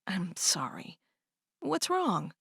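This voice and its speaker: A woman reading aloud and voicing a kind, calm older sister who speaks gently and reassuringly. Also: fairly low pitch, drawn-out words, vocal fry, husky, dry recording, clean studio sound, heavily acted. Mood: soothing.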